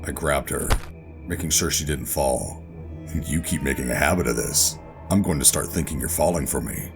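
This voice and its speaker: An actor playing a gruff, guarded covert operative who narrates a sci-fi horror audio drama in a brooding, deliberate way. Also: male voice, very deep voice, music in the background. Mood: guarded, dry. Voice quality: gravelly. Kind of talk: first-person scripted audio drama narration and dialogue.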